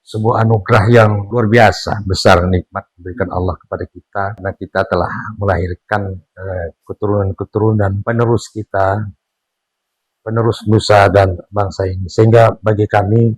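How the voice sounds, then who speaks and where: Older Indonesian-accented father, sixties, low dry voice, earnest and preachy, filler pauses with emphatic rises. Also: fairly even intonation, breathy edge, no echo, poor audio. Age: 60s. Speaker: father being interviewed. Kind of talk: casual family conversation.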